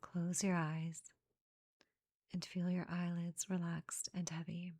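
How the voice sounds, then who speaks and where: Slow, breathy, half-whispering woman guiding meditation. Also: fairly low pitch, monotone, no room sound. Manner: soothing, gentle instructions in short phrases with pauses. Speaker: meditation guide. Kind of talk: guided meditation, soft relaxation narration.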